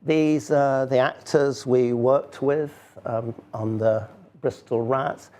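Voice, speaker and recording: A man's voice: mid-range voice, fairly even intonation, slow pace, a little mellow. A man giving an informal live talk as a speaker on sound art, hesitant and conversational with wry asides.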